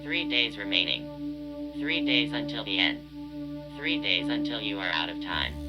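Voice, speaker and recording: Guy doing creepy automated countdown voice, lowish, slow and flat, audio drama thing, music going behind it. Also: lo-fi sound. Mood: ominous. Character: menacing automated phone voice counting down to doom.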